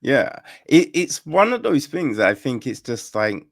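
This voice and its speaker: A man's voice: mid-range voice, very animated, a little slow, creaky. A man hosting a podcast interview, reflecting thoughtfully and giving short affirmations. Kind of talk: casual interview conversation.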